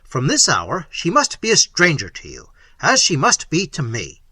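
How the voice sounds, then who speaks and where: A man's voice: a little high, huge pitch swings, a little slow, husky, no echo, studio quality, exaggerated voice. A man doing an imperious tyrant prince. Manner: loud, commanding, forceful. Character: tyrannical lordly middle-aged prince, imperious.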